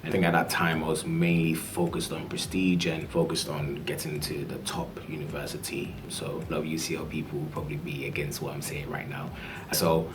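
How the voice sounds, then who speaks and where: Very deep-voiced young man. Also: little pitch movement, a little fast, background noise. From Ghana. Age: 20s. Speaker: doctor. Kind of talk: interview to camera, personal story. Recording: music bed behind voice.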